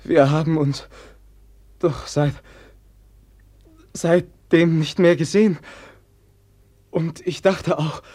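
An actor playing a gentle, naive young prince in a 1950s radio play, speaking hesitantly and earnestly. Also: male voice, on the high side, husky. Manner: hesitant, soft-spoken, earnest. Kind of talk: 1950s radio drama acting.

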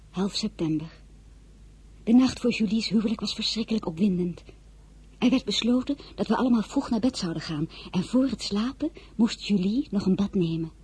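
Husky, mellow woman narrator. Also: medium pitch. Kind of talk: scripted diary-style narration.

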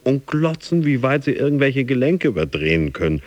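An actor playing a driven, boastful gym fanatic in a radio drama monologue, intense and theatrical. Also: male voice, medium pitch, big pitch swings, a little fast, no echo, lightly acted. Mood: scornful. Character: driven, boastful male gym fanatic dreaming of his boss and America.

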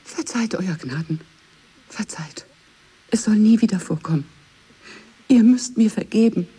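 A woman's voice: medium pitch, animated, unhurried, mellow, slightly rough. A woman playing a fearful, submissive lady's maid pleading with her queen in a radio play, half whispering. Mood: frightened, pleading.

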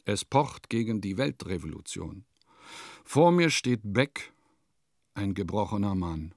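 An actor playing a vain, eccentric composer who muses theatrically and pompously in a radio play monologue. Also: male voice, lowish voice, sing-song, a little slow, no room sound, studio quality. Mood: confiding. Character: vain, eccentric male composer musing in his diary.

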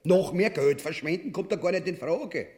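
A man's voice: high voice, moderate pace, a little mellow, lightly acted. An actor playing a greedy, boorish usurper king and husband in a grotesque radio drama, blustering and commanding in an Austrian accent. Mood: scornful.

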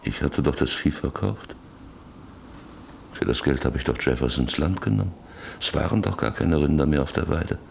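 An actor playing a troubled farmer father in a German radio drama, recalling the past in halting, repetitive phrases. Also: rumbling low, steady tone, gravelly, darkish tone, a little hiss or hum, heavily acted. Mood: brooding, remembering. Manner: halting, repetitive, brooding delivery. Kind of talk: German radio play acting, reflective monologue.

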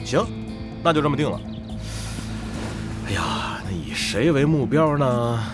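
A man's voice: medium pitch, sing-song, a little slow. A man acting a scheming, decisive guild leader in a Chinese radio drama, commanding and calculating. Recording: background music under the voice.

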